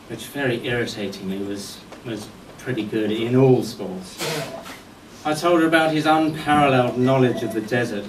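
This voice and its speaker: Middle-aged male tribute speaker, mid-pitched, wry storyteller at a memorial, recorded at a distance in an echoey room. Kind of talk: eulogy speech with humorous anecdotes. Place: indoor function venue at a golf club, before an audience. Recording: echoey room, distant mic, faint noise.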